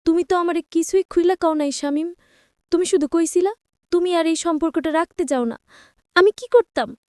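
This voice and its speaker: A betrayed young married woman in a dramatic audio story, acted out by a woman narrator. Her voice is high and wounded, pleading in a Bangladeshi Bengali accent. The recording is dry and close. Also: moderate pace, darkish tone, no echo. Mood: hurt, pleading.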